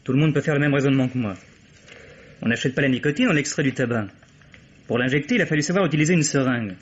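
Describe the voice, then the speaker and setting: A male actor plays a defiant young son in a vintage radio whodunit. His mid-pitched voice is rough-edged, and he makes dramatic, resolute declarations. The audio is dull and lo-fi. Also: quick pace, lightly acted. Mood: accusing. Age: young adult. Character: earnest, defiant young son of a bourgeois family. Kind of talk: vintage French radio mystery acting. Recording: dull, lo-fi old radio recording.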